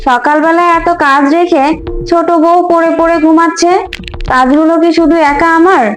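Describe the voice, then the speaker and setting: A woman's voice: extremely high pitch, fairly even intonation, normal speed. A woman voicing a crafty, nagging elder daughter-in-law in a fairy-tale cartoon. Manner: nagging, assertive. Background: background music.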